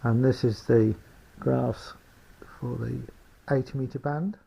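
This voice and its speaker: A man informally presenting a hands-on demonstration of his homemade radio build, explaining it in a hesitant, halting way. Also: medium pitch, fairly even intonation, gravelly, warm tone, husky, rough recording.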